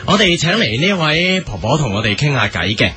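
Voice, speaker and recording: A man with a Hong Kong Cantonese accent and a fairly high, lively voice. He tells mock-serious comic stories as a boy band songwriter, with light music underneath. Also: fairly quick.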